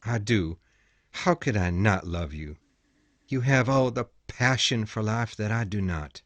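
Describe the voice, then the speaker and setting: A man acting a gentle, conflicted young gentleman in a storybook reading, speaking softly and with restraint, turning harsh when he refuses. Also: on the low side, lively intonation, a little slow, no room sound. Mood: sad.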